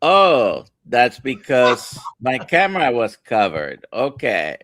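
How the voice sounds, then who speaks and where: A man's voice: mid-range voice, wildly swooping pitch, slow pace, a bit bright, no room sound, studio quality. A man co-hosting a casual livestream as a doctor, greeting viewers and prompting the guest. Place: remote online livestream from Central Florida.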